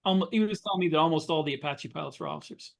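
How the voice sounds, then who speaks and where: A middle-aged or older man with an American accent speaks in a somewhat high-pitched voice. He recounts memories casually as a podcast co-host, with a dry, joking streak. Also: rapid-fire, creaky. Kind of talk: casual chat, recollections.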